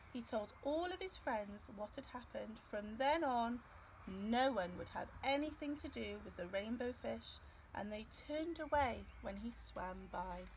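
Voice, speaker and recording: A middle-aged woman reading a storybook aloud to young children outdoors, expressive and deliberate. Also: fairly high pitch, poor audio. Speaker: nursery early years co-ordinator reading a story. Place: outdoors in a grassy garden by a field. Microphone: camera several metres away. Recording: outdoor ambient noise.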